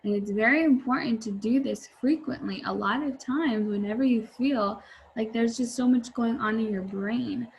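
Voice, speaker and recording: A woman's voice: a little high, moderate pace, creaky, low-quality recording. A woman teacher reading a storybook aloud and explaining it simply to young children. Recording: faint background noise.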